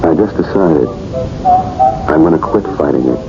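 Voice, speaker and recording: An actor playing a troubled, plain-spoken married police lieutenant in a 1970s radio drama. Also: male voice, extremely low pitch, lively intonation, normal speed. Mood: conflicted, tender. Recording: muffled 1970s radio broadcast over music.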